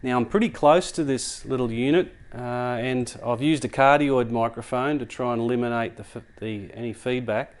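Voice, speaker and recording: A man's voice: medium pitch, moderate pace, hardly any pauses. Man casually demonstrating audio gear. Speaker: product reviewer.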